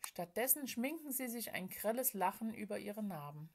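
A woman plays a frail storybook spirit of sorrow, female and bodiless. She speaks in a hushed, plaintive way, timid and tired, as one who feels misunderstood and shunned. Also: mid-range voice, big pitch swings, normal speed. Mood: sorrowful, earnest. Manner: hushed, hesitant, sighing, plaintive.

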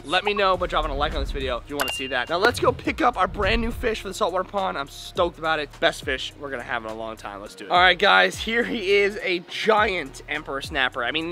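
A man in his twenties, talking fast and hyped to a handheld camera outdoors by his fish ponds. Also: high-pitched, pushed and bright. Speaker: fish-keeping vlogger. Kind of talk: excited vlog talk to camera. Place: outdoors by a pond and in a garage.

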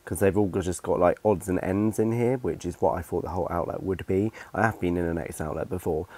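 A man's voice: sits low, brisk, a little mellow. A young man with a blocked nose, chatting casually to camera as a shopping vlogger, rambling with lots of ums and restarts. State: stuffy-nosed from hay fever. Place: living room at home, then a clothing store.

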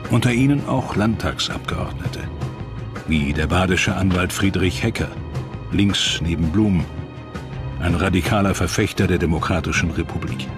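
Deep-voiced male documentary narrator over music. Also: normal speed. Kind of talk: scripted narration.

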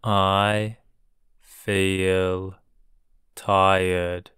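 A man's voice: deep voice, level tone, drawn-out words, fairly bright tone, smooth, dry recording, studio quality. A man teaching English words for illness, saying each word three times and then each sentence word by word. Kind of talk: slow drilled vocabulary reading.

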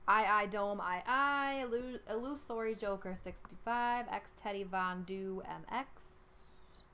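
A woman's voice: mid-range voice, unhurried, pushed and bright, slight background noise. A young woman with a New York accent, chatting casually on a live stream and reading out names in an informal way. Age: young adult. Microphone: headset.

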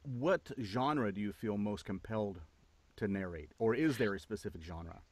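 A man's voice: lowish voice, normal speed, no echo. A middle-aged man hosting a podcast interview, asking questions in a jokey, friendly way. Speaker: podcast host. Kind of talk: casual interview chat. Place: home studio with foam panels. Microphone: studio condenser mic. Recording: dry studio sound.

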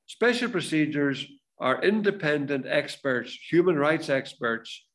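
Older male presenter, steady explanatory delivery, video-call audio. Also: mid-range voice. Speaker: webinar presenter.

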